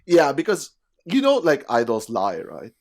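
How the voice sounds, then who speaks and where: A man's voice: mid-range voice, huge pitch swings, unhurried. A man hosting a casual podcast chat, talking with lots of fillers and laughing often. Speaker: podcast host.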